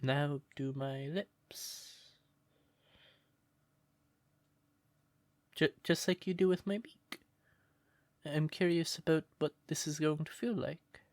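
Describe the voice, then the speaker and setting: A man playing a shy, sweet, curious crow turned human in a roleplay audio, speaking gently and breathily with hesitant stammers. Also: fairly high pitch, a little slow, dry recording. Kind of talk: soft roleplay audio, intimate character acting.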